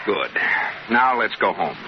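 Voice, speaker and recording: An actor playing an exasperated, grumbling but indulgent husband, emphatic, in an old radio situation comedy. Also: male voice, lowish voice, sing-song, measured pace. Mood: impatient. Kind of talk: 1940s-50s radio situation comedy acting. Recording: muffled noisy old radio, studio audience.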